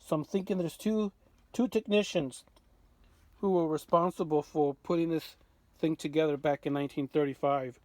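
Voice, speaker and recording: A man presenting a casual hands-on walkthrough as a radio restorer, informal and halting as he thinks aloud. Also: a little high, steady tone, darkish tone, no echo.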